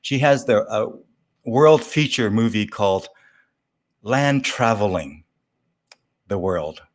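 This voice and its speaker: A man hosting an interview show, speaking in a halting, measured way as he addresses his guest. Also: on the low side, lively intonation. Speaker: talk show host.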